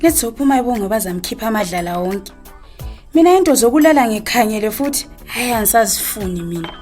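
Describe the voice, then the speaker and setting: A woman playing a quick, reproachful Zimbabwean wife. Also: a little high, expressive, a little mellow, soft background music, close to an everyday voice. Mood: weary, reproachful. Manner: probing, reproachful questioning. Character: wronged, confronting wife and mother, fed up. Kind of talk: naturalistic radio drama acting.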